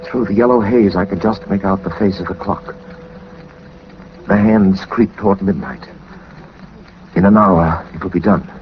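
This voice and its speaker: Suave old-time radio detective, low voice, theatrical and wordy, muffled noisy recording. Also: male voice, unhurried, pauses often. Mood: dazed, tense. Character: suave, methodical gentleman detective, dry and self-possessed. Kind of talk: 1940s radio drama acting, first-person narration.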